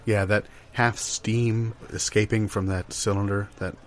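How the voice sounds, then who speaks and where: Lowish voiced guy making up a funny story, totally deadpan, kinda halting. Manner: deadpan, halting, matter-of-fact. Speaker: improv comedy performer. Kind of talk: improvised comic anecdote.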